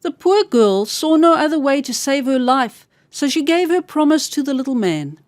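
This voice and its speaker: A woman reading a scripted fairy tale for children as a storyteller, expressive and carefully enunciated. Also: high-pitched, normal speed, no room sound, clean studio sound.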